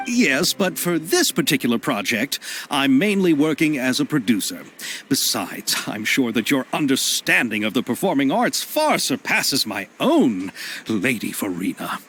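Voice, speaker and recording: A man's voice: medium pitch, sing-song, a little slow, a bit bright. A man playing a polite, earnest, eager film director with a formal, courteous manner in a video game. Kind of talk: scripted video game dialogue. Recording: faint music behind the voice.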